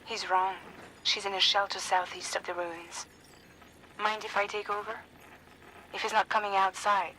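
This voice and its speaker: A woman plays a steady, resolute courier in a video game. She keeps her pitch level and matter-of-fact, with a bright, crisp tone and a little background noise. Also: normal speed, long pauses, natural voice, poor audio. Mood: calm. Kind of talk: video game cutscene acting.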